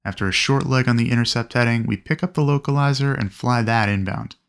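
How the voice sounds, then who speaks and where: A man narrating an instructional lesson as a flight instructor, explaining things clearly and methodically. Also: medium pitch, brisk. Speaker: flight instructor presenting a training video. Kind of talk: instructional narration with acted radio calls.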